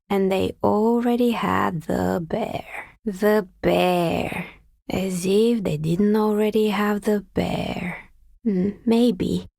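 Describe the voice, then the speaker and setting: An actress playing a wry, world-weary artisan dicemaker in a video game, speaking in a dry, understated, matter-of-fact way with a husky, breathy voice. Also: female voice, medium pitch, mellow, dry recording. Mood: deadpan. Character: wry, world-weary woman artisan who makes novelty dice. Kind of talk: video game character dialogue.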